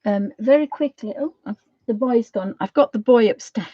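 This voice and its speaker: A middle-aged British woman with a slightly croaky voice, giving a casual craft tutorial in a rambling, homely way, thinking aloud as she goes. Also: on the high side, normal speed, mellow, dry recording, highs cut off. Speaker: watercolour craft tutor hosting a live session.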